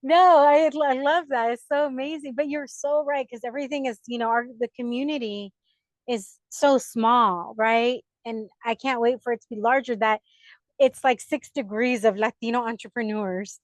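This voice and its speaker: Woman, young adult, podcast host, chatty, higher voice, dry studio sound. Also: moderate pace, dry recording, poor audio. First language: English. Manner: chatty, animated, laughs often. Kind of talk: casual interview chat.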